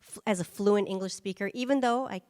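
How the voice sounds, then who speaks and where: Woman speaker, mid-pitched and emphatic, giving a talk in a reverberant hall. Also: a little fast, darkish tone, clean tone. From United States. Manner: emphatic, with rhetorical "right?" check-ins. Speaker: guest speaker. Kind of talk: conference presentation.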